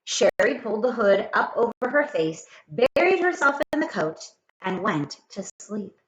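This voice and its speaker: A woman reading a children's story aloud in an expressive way, speaking straight to young listeners. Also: mid-range voice, measured pace. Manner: expressive, addresses children directly. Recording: roomy echo with faint noise.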